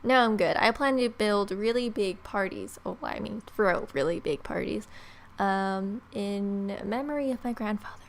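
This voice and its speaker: A woman's voice: mid-range voice, normal speed. Young woman doing a wry let's play. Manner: wry, joking asides. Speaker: gaming video creator. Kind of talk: casual let's play, reading game text aloud. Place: small room at home, indoors.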